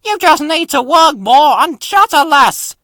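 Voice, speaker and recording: A man's voice: extremely high pitch, animated, measured pace, sharp tone, dry recording, studio quality, pitched above the performer's own voice, heavily acted. A man voicing grumpy, haughty big male engines in a storybook, scornful and indignant.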